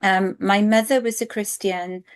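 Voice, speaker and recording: A woman's voice: mid-range voice, fairly even intonation, a little slow. A Welsh woman in her forties, an author, answering interview questions in an earnest, thoughtful way with frequent ums. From Wales. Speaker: author guest.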